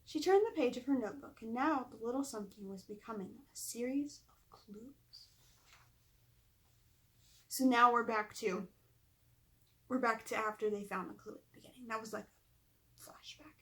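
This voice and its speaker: A woman's voice: a little high, normal speed, pauses often, fairly bright tone. A woman reading a children's story aloud expressively, with chatty asides to her listeners. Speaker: author reading own book aloud. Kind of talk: storybook read-aloud narration.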